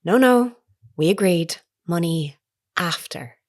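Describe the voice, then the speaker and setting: A woman reporter with a slightly low voice. She is earnest and persistent, speaking in a formal, measured, insistent way, as in a natural audio drama. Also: animated. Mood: firm.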